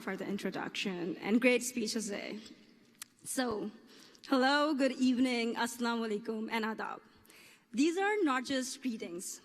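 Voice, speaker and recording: High-voiced woman, amplified in a hall. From Pakistan. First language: Urdu. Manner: earnest with playful humor, careful formal phrasing. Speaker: student speaker. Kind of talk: graduation speech. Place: ceremony hall on stage.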